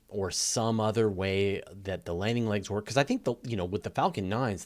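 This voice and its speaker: A man hosting a live question-and-answer session, talking casually and explaining with emphatic stress on key words. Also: fairly low pitch. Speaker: host of a live space Q&A.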